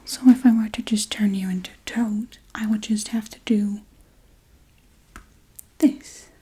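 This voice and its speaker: Witch for ASMR role-play, played by a woman: soft and mellow, breathy whisper, playfully menacing, forgetful, coaxing and unhurried. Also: medium pitch, steady tone. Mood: teasing, menacing.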